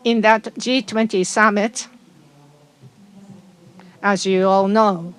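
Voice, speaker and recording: Woman interpreting with halting fillers. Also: medium pitch, fairly even intonation, clear, resonant tone. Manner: halting, frequent fillers and restarts. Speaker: conference interpreter. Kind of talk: simultaneous interpretation into English.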